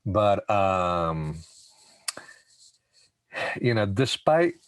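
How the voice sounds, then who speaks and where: A man's voice: lowish voice, sing-song, extremely slow, clear, resonant tone, no echo. A man in his forties from Puerto Rico, a podcast guest telling stories in a casual, candid, informal way. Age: 40s. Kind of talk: casual conversational storytelling.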